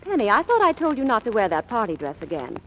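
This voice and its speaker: Lively, wry middle-aged mother. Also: a little high, fast. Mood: dryly disapproving. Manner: gently dry, motherly. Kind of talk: 1940s radio acting. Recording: muffled, noisy vintage radio recording.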